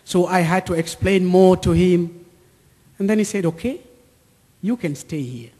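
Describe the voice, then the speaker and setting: A man in his thirties with an East African accent, giving a personal testimony to an audience in deliberate, short repeated phrases. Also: high-pitched, mellow, slightly rough. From Central or East Africa, near Lake Tanganyika. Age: 30s. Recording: echoey hall with long reverb.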